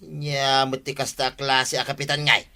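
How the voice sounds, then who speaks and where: An actor playing a grumbling villager at the barangay hall in a radio comedy. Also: male voice, mid-range voice, little pitch movement, a little slow, bright, forceful tone. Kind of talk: radio comedy drama acting.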